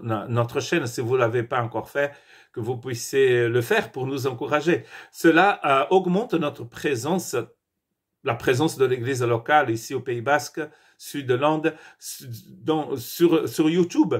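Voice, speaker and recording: Man, husky voice, pastor, earnest devotional talk, halting restarts. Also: mid-range voice. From Portuguese-speaking country. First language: Portuguese. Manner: earnest, with frequent restarts and hesitations.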